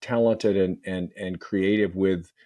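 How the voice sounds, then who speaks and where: A man's voice: low voice, steady tone, unhurried, mellow. A man hosting a healthcare podcast, talking in a conversational way that often stalls on repeated words and restarts. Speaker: podcast host. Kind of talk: conversational interview.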